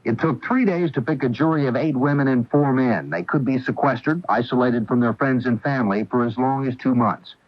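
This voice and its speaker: An American man files a scripted radio news report at a medium pitch. The recording is dry and dull, like vintage radio. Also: brisk, few pauses, no echo.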